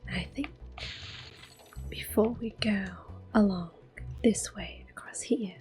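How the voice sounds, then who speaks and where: A woman whispering gently and unhurriedly in a soft, breathy voice, commentating a game in ASMR style up close to the microphone. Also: mid-range voice, faint music behind. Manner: gentle, unhurried, softly spoken. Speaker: ASMR gaming host.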